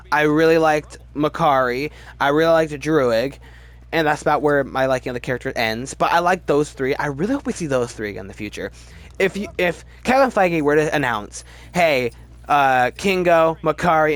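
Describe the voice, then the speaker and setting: A man talks as a video host in a casual, unscripted way. His voice sits a little high and swings widely in pitch as he gives opinions, often restarting a thought midway. Faint music plays behind him. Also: fairly bright tone. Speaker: video host reviewing films. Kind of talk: casual ranking commentary, off the cuff.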